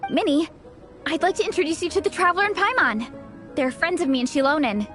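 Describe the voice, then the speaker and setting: An eager young team captain from a video game. Her voice is high and swings widely in pitch, perky and encouraging throughout, with soft music underneath. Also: lively intonation, fast talker. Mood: cheerful, encouraging.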